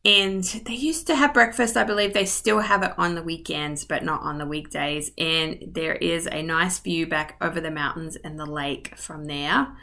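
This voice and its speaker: A woman's voice: fairly low pitch, pushed and bright. A woman real estate agent narrating a map tour in a friendly, informal way, a little short of voice. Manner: friendly, informal, with ums and asides. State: losing her voice. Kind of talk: map tour narration over screen share.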